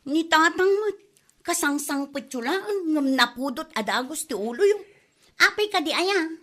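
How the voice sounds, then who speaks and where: A lazy, scheming young mother, acted by a woman, complains in a high, piercing voice. Her Ilocano comedy drama delivery is whiny and forward, with a Filipino Ilocano accent. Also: lively intonation, moderate pace, bright, forceful tone. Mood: whining. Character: lazy, whining grown daughter and scheming mother.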